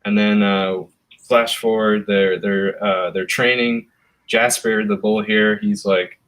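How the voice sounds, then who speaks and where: A man casually recounting a comic's plot, halting with fillers and restarts. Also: lowish voice, steady tone, a bit bright. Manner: rambling, halting storytelling. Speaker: comic artist guest. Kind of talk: casual chat.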